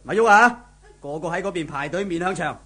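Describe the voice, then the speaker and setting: A man's voice: sits high, a little fast, a bit bright. A man acting as a brusque, dutiful police subordinate in a 1970s radio drama, with a Hong Kong Cantonese accent. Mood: brusque, officious. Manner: brusque, officious.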